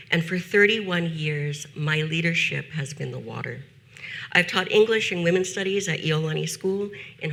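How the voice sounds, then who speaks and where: A woman in her fifties, a teacher, giving a prepared talk at a conference with a measured, deliberate delivery and slowed emphasis on key phrases. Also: fairly low pitch, creaky, sharp tone, a little hiss or hum. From United States.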